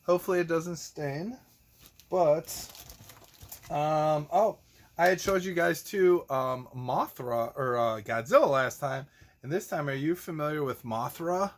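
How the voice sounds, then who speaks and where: Slow-talking goofy man hosting for kids. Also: mid-range voice. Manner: goofy, theatrical, silly exclamations. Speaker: children's show host and teacher. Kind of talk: casual playful chat for kids, showing toys.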